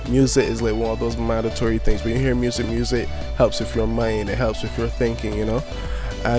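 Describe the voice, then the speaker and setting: A nineteen-year-old man talks with eager, rambling energy, leaning on "you know" and "um" as he answers. His voice sits at a middling pitch. Clear background music plays under him throughout. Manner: eager, rambling, many fillers. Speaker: youth media founder and poet. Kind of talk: casual interview answers. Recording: clear music playing behind.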